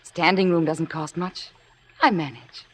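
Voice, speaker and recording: Meek young theater devotee. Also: female voice, low voice, fairly even intonation, a little slow, slight background noise. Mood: shy, earnest. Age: young adult. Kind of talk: 1950s radio drama acting.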